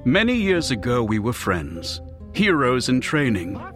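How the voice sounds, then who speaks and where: An actor playing an exasperated, principled supervillain in an audiobook drama, flustered and theatrical in manner. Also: male voice, medium pitch, huge pitch swings, unhurried, a bit bright, faint music behind. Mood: brooding. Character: exasperated, principled male supervillain with a false arm. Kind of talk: full-cast audiobook drama.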